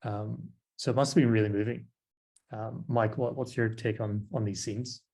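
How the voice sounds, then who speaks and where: A man's voice: lowish voice, fairly even intonation, normal speed, vocal fry, a little mellow, breathy edge. A man hosting a podcast, leading the discussion in a hesitant way with many fillers. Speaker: podcast host. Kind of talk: casual discussion.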